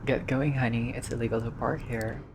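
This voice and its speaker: A man doing a casual game playthrough, reading dialogue aloud and reacting with expressive, slangy asides. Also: medium pitch, brisk, background noise. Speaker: gaming video player reading dialogue aloud.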